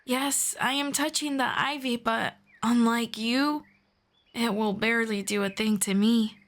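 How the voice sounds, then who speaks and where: A woman voicing a patient, gently formal female elf friend in an ASMR roleplay, speaking softly and politely. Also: on the high side. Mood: calm, patient. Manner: soft, unhurried, politely formal.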